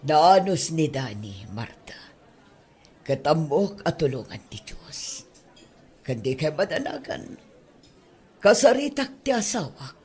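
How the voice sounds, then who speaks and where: An actor playing a kindly, older Madam who speaks slowly and consolingly with a husky voice in a radio drama. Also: medium pitch, sing-song, a little mellow, exaggerated voice. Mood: gentle concern. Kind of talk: radio drama acting in Ilocano.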